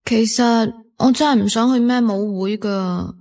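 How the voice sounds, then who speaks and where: Higher-voiced, shy student in radio drama. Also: female voice, unhurried, mellow. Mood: reluctant, modest. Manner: reserved, self-effacing. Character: shy, homely young woman student who loves cooking. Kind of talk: Cantonese radio drama acting.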